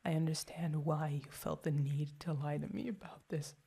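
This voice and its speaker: A woman's voice: low voice, steady tone, moderate pace, gravelly, mellow, slightly rough, heavily acted. A young woman playing a protective girlfriend who is furious and hurt, mostly whispering with stumbling, intense outbursts in an ASMR roleplay. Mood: angry, shocked.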